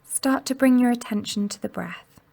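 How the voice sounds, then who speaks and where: Creaky-voiced woman guiding meditation. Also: fairly high pitch. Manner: gentle, unhurried, soothing instruction with long pauses. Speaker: mindfulness meditation guide. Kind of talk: guided body scan meditation narration.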